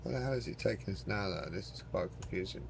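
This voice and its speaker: Low gravelly man over loud engine noise. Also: expressive, fast. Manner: talks to himself and chat, joking, swearing, thinking aloud. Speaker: game streamer. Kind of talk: casual live commentary while playing a flight simulator.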